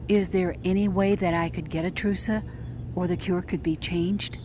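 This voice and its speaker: Actress as tired wife, lowish pitch, understated, wistful, audio drama, clear background noise. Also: female voice, steady tone, moderate pace, natural voice. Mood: tired, hopeful. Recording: noisy background ambience.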